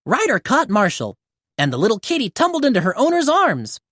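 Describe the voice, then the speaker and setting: Very animated woman reading a children's story. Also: on the high side, fairly quick, no room sound, studio quality. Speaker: storybook reader. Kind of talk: animated children's read-aloud narration.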